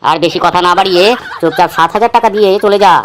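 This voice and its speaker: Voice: medium pitch, rapid-fire, slight background noise, lightly acted. An actor voicing a sly, bossy fake holy man in a comic cartoon. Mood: smug, instructing.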